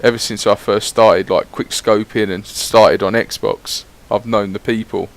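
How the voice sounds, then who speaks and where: A man's voice: lowish voice, steady tone, a little slow. A man giving casual, rambling voice-over commentary on his gameplay, swearing freely as he chats. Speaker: gaming YouTuber.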